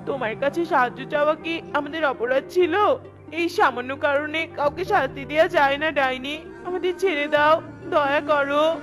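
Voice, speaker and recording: A woman's voice: high-pitched, moderate pace, music in the background, lightly acted. A woman voicing a small, brave female bird in a cartoon, speaking insistently and emotionally. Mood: frightened, pleading. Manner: insistent, emotional, repeating pleas and demands. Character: small brave female tailorbird, indignant, pleading for her friend. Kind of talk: dramatic cartoon voice acting.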